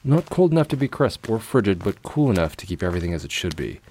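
A man playing a cold, fastidious, obsessive recluse in an audio drama monologue, speaking in a precise, deliberate, brooding way. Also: deep voice, sing-song, a little mellow, a little hiss or hum. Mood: clipped, controlled. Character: cold, fastidious, obsessive male recluse confessing monstrous urges.

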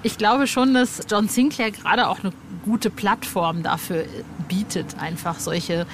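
A woman's voice: mid-range voice, fairly even intonation, a little slow. A woman with a German accent interviewing a guest in a colloquial, chatty, fan-like way. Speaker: podcast interviewer. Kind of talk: casual interview chat. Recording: clearly noisy background.

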